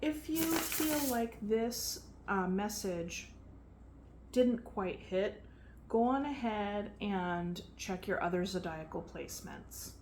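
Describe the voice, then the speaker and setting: A soft-voiced woman of medium pitch speaks at an unhurried pace. She chats loosely through a tarot reading and fills her pauses with ums. Faint noise sits behind her in the room. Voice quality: soft-spoken. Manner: relaxed, chatty, frequent fillers. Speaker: tarot reader. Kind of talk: casual tarot reading to camera.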